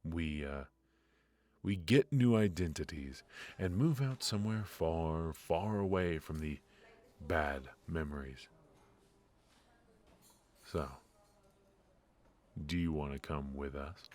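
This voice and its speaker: A man playing a wry, tender mafia boss in an intimate ASMR roleplay, speaking in a halting, low-key way. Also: darkish tone. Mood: earnest, coaxing. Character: brilliant ruthless male mafia boss, softened, wry and tender. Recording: music behind the voice.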